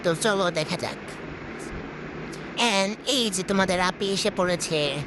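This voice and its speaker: Female gaming host, Bangladeshi accent, slightly low voice run through a voice changer, chatty and exclamatory, clear background noise. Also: slow. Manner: chatty, exclamatory, self-talking, repeats words. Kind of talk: casual gameplay commentary.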